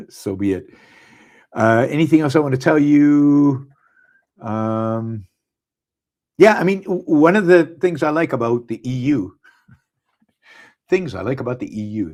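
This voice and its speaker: A man's voice: mid-range voice, darkish tone. Israeli guy hosting a live stream, middle-aged, lots of uh and um, restarts his sentences. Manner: halting, many fillers and restarts. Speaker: podcast host. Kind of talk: live casual monologue. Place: abroad, speaking on a live stream.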